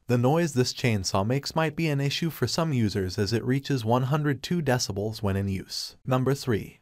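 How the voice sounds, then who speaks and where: Male VO, scripted product review read, even delivery, dead-dry booth sound. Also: mid-range voice, no echo.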